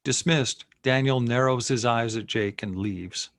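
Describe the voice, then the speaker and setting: A man's voice: mid-range voice, unhurried, a bit bright, dry recording, clean studio sound. A man reading screenplay action and scene headings as the narrator of a table read. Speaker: narrator reading stage directions.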